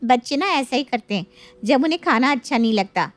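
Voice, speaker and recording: A boastful elder sister, voiced by a woman chatters with smug condescension. Her voice is very high and animated, swooping about in a comic family tale recorded close and dry. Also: brisk, dry recording.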